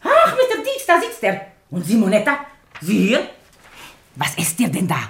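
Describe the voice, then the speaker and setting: An actress playing a vain, imperious middle-aged opera diva in a German radio play, theatrical and scolding. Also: female voice, mid-range voice, wildly swooping pitch, moderate pace, fairly bright tone. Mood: capricious, excited.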